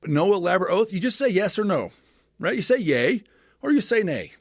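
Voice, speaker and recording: Animated man explaining scripture. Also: high voice, fairly quick, slightly rough, no room sound, clean studio sound. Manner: animated, expressive teaching with frequent restarts. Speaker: podcast guest scholar.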